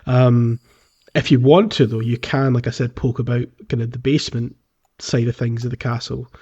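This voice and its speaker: A man's voice: medium pitch, flat delivery, normal speed, warm tone, slightly rough. A man co-hosting a gaming podcast in casual, conversational talk. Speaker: podcast host. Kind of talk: casual game discussion podcast. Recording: slightly dull, lo-fi voice track.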